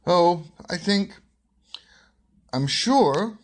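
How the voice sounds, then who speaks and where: An actor playing an eager, cheerful young gentleman, earnest and bubbly in 1940s radio drama style. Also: high voice, lively intonation, very slow, full character voice. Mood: smitten, hesitant.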